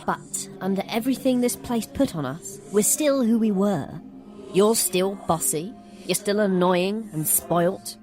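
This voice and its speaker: A woman playing a taunting, secretly protective little brother in an audio drama, speaking deliberately. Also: medium pitch, a little mellow, natural voice. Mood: needling. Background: music.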